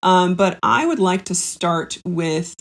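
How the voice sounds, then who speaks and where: A woman chatting casually on a comedy podcast as a guest who leads a pitch game. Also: slow.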